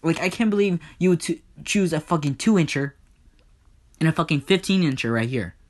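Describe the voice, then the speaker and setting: A man's voice: fairly high pitch, animated, brisk, pitched above the performer's own voice. A man voicing an angry, vengeful Hades in a comedic myth retelling, ranting with profanity and threats. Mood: threatening, furious. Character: furious, foul-mouthed, vengeful god Hades, aggrieved brother.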